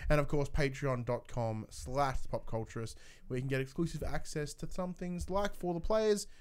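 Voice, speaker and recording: A man's voice: on the high side, normal speed, smooth. A man hosting a casual livestreamed film chat, rambling informally and often restarting his sentences. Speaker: podcast host.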